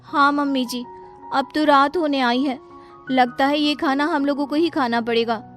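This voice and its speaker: Cartoon daughter-in-law, played by a woman: very high voice, headstrong, superstitious, pushes insistently to get her way. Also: fairly even intonation, normal speed, fairly bright tone, faint music behind. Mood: earnest. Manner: insistent, pleading. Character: stubborn, superstitious young daughter-in-law who trusts her dreams. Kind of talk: scripted cartoon story dialogue.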